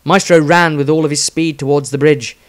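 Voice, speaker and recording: A man's voice: on the high side, fairly even intonation, brisk. Man solemnly narrating a fantasy tale. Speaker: author narrating own story. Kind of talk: scripted dramatic fantasy narration.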